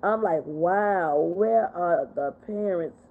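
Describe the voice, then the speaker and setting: A woman in her fifties hosting a casual livestream chat, reading out viewer comments and answering them in an animated way. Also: lowish voice, slow pace. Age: 50s. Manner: animated, talks back to viewers. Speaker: livestream host. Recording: phone-like narrow sound.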